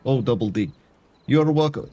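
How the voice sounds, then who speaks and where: A man's voice: medium pitch, animated, normal speed, dark tone, soft background music. A Canadian man streaming a game, chatting to his viewers in a put-on foreign accent that drops articles. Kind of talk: casual gameplay chat with viewers.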